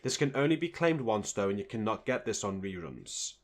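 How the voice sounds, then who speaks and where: A man's voice: lowish voice, sing-song, a little fast. A man reading scripted narration as a video game guide host.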